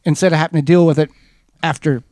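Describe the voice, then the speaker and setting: High-pitched American man on talk radio. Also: fairly even intonation, fast talker, warm tone, dry recording. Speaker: radio show co-host. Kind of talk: casual gardening advice chat.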